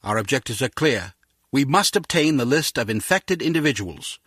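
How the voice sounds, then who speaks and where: An actor playing a grave, authoritative spy agency chief in a video game, speaking in a measured, formal, resolute manner. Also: male voice, medium pitch, animated, fairly bright tone, husky, dry recording, studio quality. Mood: grave resolve.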